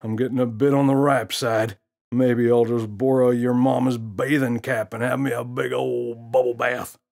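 A man's voice: mid-range voice, little pitch movement, normal speed, creaky, a little mellow, lightly acted. A man voicing a cocky, mischievous talking gorilla with a slangy, teasing, swaggering delivery. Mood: joking.

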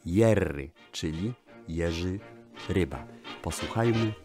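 A man's voice: low voice, expressive, slow pace, gravelly. A man presenting music history in a radio style, formally and eloquently, addressing his audience politely. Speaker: host presenting archive records.

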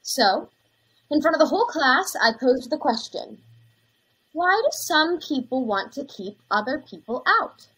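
A young woman playing an earnest, caring elementary school teacher in a scripted dramatization, slightly breathy. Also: high voice, very animated, a little slow, a bit bright, exaggerated voice, rough recording. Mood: earnest, tender. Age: young adult. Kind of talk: scripted dramatization on video call. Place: indoors, plain backdrop, video call.

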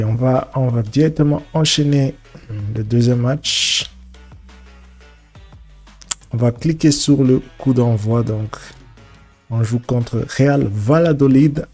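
A man's voice: medium pitch, darkish tone. Congested man casually commentating a football game. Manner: halting, repeats words while thinking aloud. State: congested with a cold. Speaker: gaming streamer.

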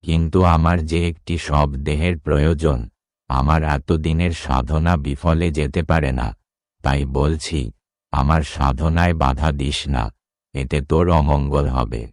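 A man's voice: extremely low pitch, fairly even intonation, unhurried, darkish tone, no room sound, clean studio sound. A man voicing a menacing, imposing tantric occultist in a horror cartoon. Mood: threatening. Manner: imposing, threatening. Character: menacing male tantric occultist seeking a corpse. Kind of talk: dramatic horror cartoon voice acting.

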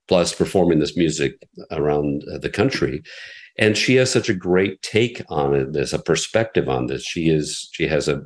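Middle-aged guy with a really deep low voice, explaining stuff carefully, lots of restarts. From United States. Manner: measured, explanatory, with frequent restarts. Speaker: radio station president, guest. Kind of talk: conversational interview answers.

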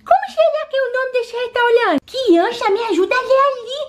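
A woman's voice: extremely high pitch, a little fast. A woman voicing a greedy, cheeky little girl doll in a playful doll storytelling video. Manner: playful, childlike chatter. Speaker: channel host voicing dolls.

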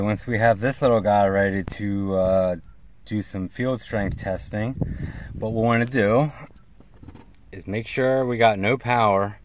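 A middle-aged man giving a casual, hands-on how-to in a halting way, recorded on a handheld camera outdoors by a vehicle. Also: on the low side. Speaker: CB radio hobbyist presenter. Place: outdoors in and beside a parked vehicle in an open field. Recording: clear background noise, outdoor ambience.

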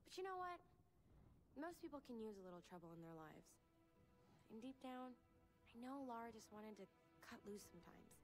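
A woman's voice: on the high side, expressive, moderate pace, long pauses, close to an everyday voice. A young woman playing a bubbly, adventurous American filmmaker, reading a game journal in a playful, confiding way. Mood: playful, fond. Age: young adult. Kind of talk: video game journal voice-over. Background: music.

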